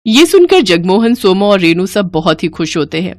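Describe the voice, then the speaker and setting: A woman's voice: medium pitch, sing-song, moderate pace, background noise. A woman narrating a scripted story as a storyteller.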